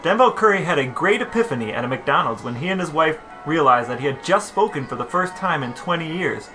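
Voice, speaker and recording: A man's voice: on the high side, expressive, fast, over music, low-quality recording. A man co-hosting a podcast, chatting casually and telling personal stories. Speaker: podcast host. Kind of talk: casual conversational podcast talk.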